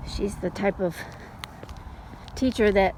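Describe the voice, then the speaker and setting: A middle-aged woman chatting casually in an unhurried, folksy way, like a mom telling stories while out on a walk. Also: mid-range voice. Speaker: storytelling vlogger and mother. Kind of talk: casual rambling chat. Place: outdoors, walking. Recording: steady background noise, outdoors on a walk.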